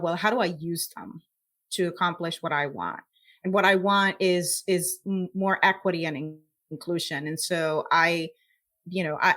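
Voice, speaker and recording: A woman's voice: lowish voice, fairly bright tone. A woman from Puerto Rico with a Puerto Rican accent, giving reflective, emphatic answers as an interview guest. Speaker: podcast guest, scientist.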